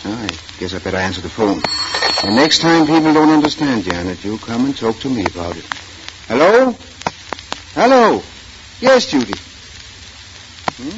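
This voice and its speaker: An actor playing a kindly but firm family doctor in a 1940s radio drama. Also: male voice, medium pitch, natural voice. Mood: concerned. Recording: noisy, muffled 1940s radio recording.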